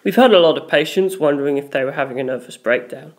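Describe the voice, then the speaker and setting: A woman's voice: low voice, fast, lightly acted. Woman acting a solemn, subdued male doctor. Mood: matter-of-fact. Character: grave, haunted male doctor.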